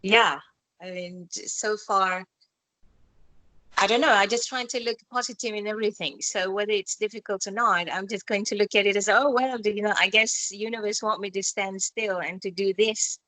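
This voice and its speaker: A woman, born in Malaysia, answering interview questions in a calm, reflective way, speaking English with loose grammar and spiritual phrasing. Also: mid-range voice, normal speed, no room sound, rough recording. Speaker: skin expert interviewee. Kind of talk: reflective casual interview talk.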